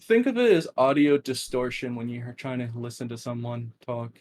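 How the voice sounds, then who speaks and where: Monotone man answering podcast questions. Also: medium pitch, normal speed, a crowd in the background. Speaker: podcast guest. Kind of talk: casual interview answers.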